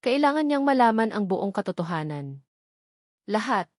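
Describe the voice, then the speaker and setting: A woman's voice: mid-range voice, very animated, moderate pace, no echo, clean studio sound. A woman reading a scripted first-person romantic drama as a story narrator.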